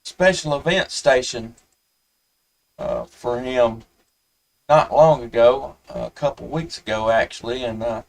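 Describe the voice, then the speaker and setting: A man with a Southern US accent chatting casually as a ham radio operator, in a folksy, halting way. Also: mid-range voice, creaky, recorded from a distance. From Tennessee, United States. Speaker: amateur radio operator, live streamer.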